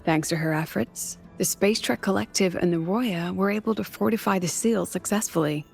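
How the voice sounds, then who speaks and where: A woman's voice: mid-range voice, moderate pace, vocal fry, a little mellow, soft background music, close to an everyday voice. A woman voicing a composed, admiring academy colleague in a video game, explaining things with a lightly wry manner. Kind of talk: scripted video game dialogue.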